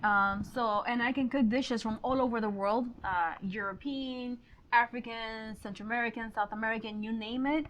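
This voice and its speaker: A woman's voice: on the high side, fairly even intonation, moderate pace. Woman in her forties, from Honduras. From Honduras, grew up in Miami. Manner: warm, laughs often, some fillers. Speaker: guest, real estate agent. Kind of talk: casual interview chat. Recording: slightly dull lo-fi sound.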